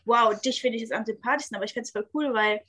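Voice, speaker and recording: A young woman with a fairly high voice chats casually in a group. She grew up in Germany with Spanish and Italian as first languages, talks in youth slang, acts out little quotes and keeps checking that the others follow her. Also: fast, pushed and bright, husky. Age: young adult. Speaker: participant in a group talk.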